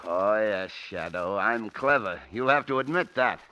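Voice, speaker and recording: Cocky ruthless gangster, threatening tough-guy delivery, sharp animated voice, muffled 1940s radio drama. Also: on the low side, unhurried. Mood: gloating, menacing. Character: ruthless, cocky gangster with a gun, boastful man. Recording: muffled old radio recording.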